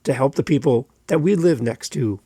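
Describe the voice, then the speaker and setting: A man's voice: mid-range voice, normal speed, warm tone, breathy edge, dry recording. Canadian male podcast host, chatty and rambling, dry close-mic sound, mid-range voice. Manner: chatty and rambling, with light humor. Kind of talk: solo podcast monologue.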